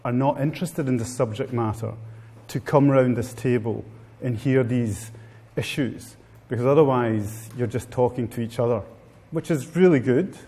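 Middle-aged italian guy talking to a seminar crowd, dark mellow voice, lots of hall reverb. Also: medium pitch, normal speed. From Italy. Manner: informal, wry, addresses the audience directly. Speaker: guest speaker. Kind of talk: live seminar talk. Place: seminar room with live audience.

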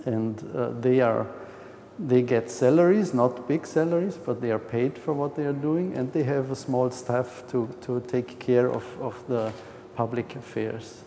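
Austrian guy giving a conference talk in english, nervous, lots of uhs, dark voice, faint room noise. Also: mid-range voice, unhurried, big-room sound. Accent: Austrian German. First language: German. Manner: hesitant, frequent uh and restarts. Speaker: speaker. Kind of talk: conference presentation.

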